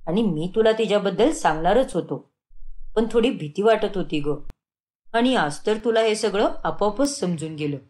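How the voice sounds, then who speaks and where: Young cartoon son, lovestruck, earnest, pleading, lowered pitch; played by a woman. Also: animated, normal speed, a little mellow, close to an everyday voice. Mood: earnest, persuasive. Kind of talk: animated moral-story cartoon dialogue.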